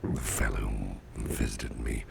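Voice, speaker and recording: A man playing a weary, courteous businessman boss in an intimate ASMR roleplay, murmuring in a gravelly, breathy near-whisper with folksy hesitations. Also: rumbling low, steady tone, unhurried, exaggerated voice. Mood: puzzled, confiding. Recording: clearly audible background noise.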